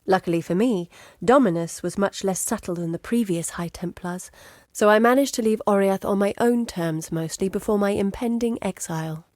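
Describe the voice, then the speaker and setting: A woman playing an educated, curious, guarded map-maker in exile in a video game, speaking in a measured, earnest, articulate way. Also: medium pitch, darkish tone, dry recording, clean studio sound, close to an everyday voice. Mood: wistful, subdued. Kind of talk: scripted video game character dialogue. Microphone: close studio mic.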